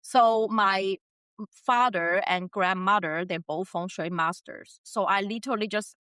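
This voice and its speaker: A woman who grew up in China speaks English. Her voice is bright and forward, recorded close and dry. She chats easily and laughs often as she explains. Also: medium pitch, measured pace, smooth, no room sound. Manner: chatty, laughs often between points. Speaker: podcast guest. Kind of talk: casual interview conversation.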